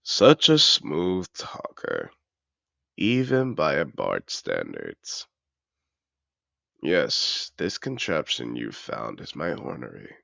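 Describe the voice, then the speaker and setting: A man's voice: a bass voice, wildly swooping pitch, takes it slowly, a bit bright, dry recording, full character voice. A man voicing a suave, seductive dragon disguised as a wealthy aristocrat in a fantasy audio roleplay, speaking in a courtly, formal way. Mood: teasing, seductive. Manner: courtly, formal, smooth-talking.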